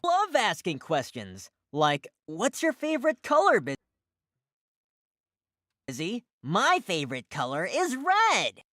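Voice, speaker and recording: A woman's voice: mid-range voice, wildly swooping pitch, takes it slowly, a bit bright, no room sound, studio quality, full character voice. A woman voicing cheerful, inquisitive puppy characters in an educational preschool cartoon, with an exaggerated sing-song teaching delivery. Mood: bright, inquisitive. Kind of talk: scripted children's educational cartoon dialogue.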